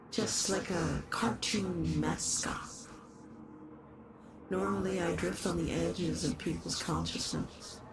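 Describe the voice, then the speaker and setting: A performer voicing a lovesick shapeshifting horror in a roleplay monologue, doting and possessive, halting, with soft menace in the endearments. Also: mid-range voice, background noise. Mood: musing. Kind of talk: dramatic roleplay monologue addressed to the listener.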